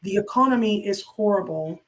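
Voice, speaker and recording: A woman's voice: mid-range voice, expressive, moderate pace, low-quality recording. Emphatic woman. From San Juan, Puerto Rico. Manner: emphatic, opinionated, direct to the listener. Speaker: interview guest, social media crypto educator. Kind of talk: conversational interview.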